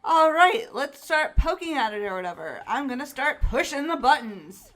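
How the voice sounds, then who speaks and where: Woman as chirpy, jittery schoolgirl sidekick for a game, high voice, slangy and casual, faint music bed. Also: big pitch swings, normal speed, fairly bright tone. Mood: curious. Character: Hina, bubbly, naive, nervous teenage schoolgirl. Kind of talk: acted visual novel game dialogue. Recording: music behind the voice.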